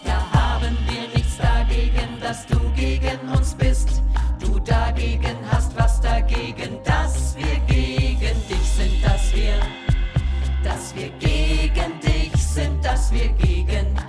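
An actress playing a protest singer in a radio drama, half speaking and half singing in a repetitive chant. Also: female voice, fairly high pitch, takes it slowly. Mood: insistent, ironic. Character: female singer of a repetitive ironic protest song. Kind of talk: half-spoken pop song in a radio play. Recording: roomy echo under loud pop music.

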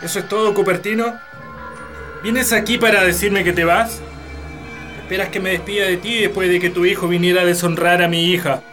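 A man's voice: sits high, fairly even intonation, a little fast, over music, close to an everyday voice. An actor with a Chilean accent playing an angry, imperious landowner father in a radio drama, scolding sternly. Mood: furious.

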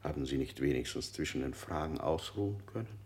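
A man's voice: extremely low pitch, expressive, fairly quick, darkish tone. An actor playing a cold male interrogator in a radio drama, firing unsettling questions with sudden leaps in his voice. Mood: probing.

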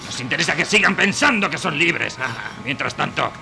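An actor playing a gloating, contemptuous advertising villain in a satirical radio play, with a gravelly, sneering, drawn-out delivery. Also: male voice, fairly high pitch, lively intonation, background noise. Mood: mocking, amused. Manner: sneering, drawn-out, punctuated by cackles. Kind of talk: satirical radio drama acting.

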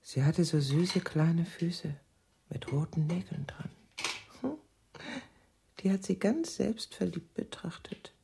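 A husky, obsessed murderous woman. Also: lowish voice, lively intonation, takes it slowly, dark tone, heavily acted. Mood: dreamy. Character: obsessed, defiant murderous woman, coolly evasive, lovestruck. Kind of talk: German radio drama acting.

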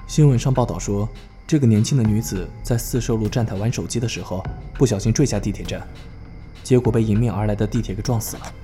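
A man's voice: lowish voice, fast, warm tone, faint music behind, natural voice. A man playing a sober subway passenger, speaking in a serious, expository way in an audio drama. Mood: grave. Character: sober male subway passenger recalling a grim case.

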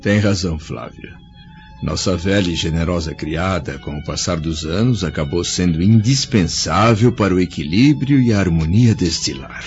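An actor playing an old, blind, tender Roman senator in a radio drama, speaking slowly and with feeling. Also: male voice, deep voice, a little mellow, faint music behind. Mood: fatherly. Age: older. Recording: dull lo-fi audio with faint score.

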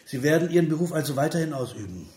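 An actor in a radio play voicing a bitterly sarcastic political cynic of 1930s Germany, with a rounded, rough voice and biting, declamatory delivery. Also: male voice, on the high side, animated, rapid-fire, warm tone, close to an everyday voice. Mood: bitterly serious. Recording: roomy echo, music behind.

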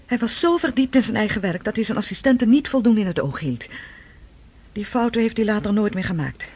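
Earnest scientist's daughter, radio drama, husky, high voice, formal, persuasive, muffled lo-fi sound. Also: female voice, lively intonation, brisk, a little mellow. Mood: earnest, defensive. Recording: dull, lo-fi radio recording.

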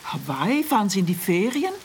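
A woman's mid-pitched, very lively voice as an anxious, sceptical wife in a radio drama. She questions pointedly and properly, with faint noise behind her. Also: brisk. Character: worried, sceptical wife of a missing, indebted man. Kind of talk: radio play acting.

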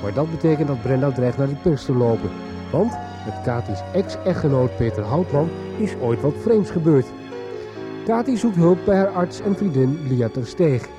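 A male narrator with a Netherlandic Dutch accent reads a radio soap recap. His intonation is lively and varied, and music plays clearly behind him. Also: on the high side, normal speed.

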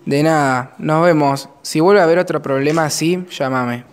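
School director, calm and firm with pupils, fairly high male voice, acted by a man, amateur radio play. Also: moderate pace, clear, resonant tone, natural voice. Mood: polite.

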